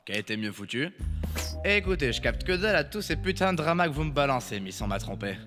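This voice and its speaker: A theatrical, high-voiced cartoon demon boss, acted by a man. He is crude and sarcastic, with very animated delivery over a clear music bed. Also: quick pace. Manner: theatrical, foul-mouthed, sarcastic. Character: brash, vulgar male demon boss of assassins. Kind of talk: animated comedy fan dub. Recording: music behind the voice.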